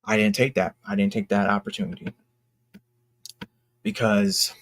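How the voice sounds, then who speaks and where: A young man talking casually and candidly to his fans in a halting, reflective, earnest way, as an independent creator. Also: on the low side, flat delivery, no room sound, studio quality. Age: young adult. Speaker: indie comic creator and vlogger.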